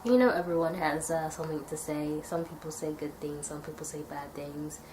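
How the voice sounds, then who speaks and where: A young woman from the UK chatting casually to camera in a tag video, her nose stuffy from a cold and her voice a little raspy. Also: sits low, fairly even intonation, normal speed, background noise. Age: young adult. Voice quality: slightly raspy. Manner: chatty, thoughtful, rambling with fillers. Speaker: vlogger.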